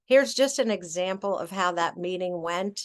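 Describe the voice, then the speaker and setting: A woman's voice: lowish voice, moderate pace. Chatty woman trainer presenting slides. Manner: chatty, many fillers and self-corrections.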